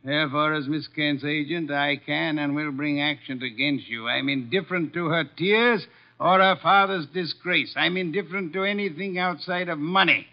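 Man playing imperious male detective for vintage radio drama: pompous, precise, raised pitch, dull old recording. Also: moderate pace, natural voice. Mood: stern, commanding. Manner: pompous, formal, precise diction. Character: imperious, irritable, money-minded male private detective. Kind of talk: 1950s radio drama acting. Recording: dull, band-limited 1950s radio recording.

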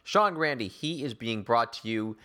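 A man's voice: mid-range voice, expressive, unhurried, pushed and bright, soft background music. An American man hosting a sports podcast, talking in wordy, rhetorical, ornate phrases as he gives commentary and reads promos.

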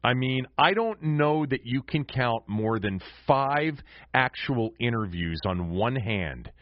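A man's voice: medium pitch, animated. An American man hosting a sports podcast in a conversational way, often repeating words as he goes. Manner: halting, repeats words while forming questions. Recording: slightly dull, roomy sound.

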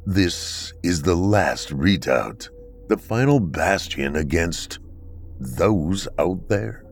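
A man voicing a calm, androgynous bunker dweller from the far future, speaking slowly and sternly. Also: low voice, gravelly, soft background music, heavily acted. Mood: calm, grave. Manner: slow, measured, stern.

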